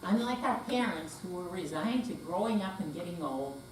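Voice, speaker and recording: A woman's voice: lowish voice, lively intonation, moderate pace. An older woman giving a dramatic stage reading as an amateur readers theater performer. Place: senior center hall, indoor live performance. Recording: very echoey room, distant, faint hum.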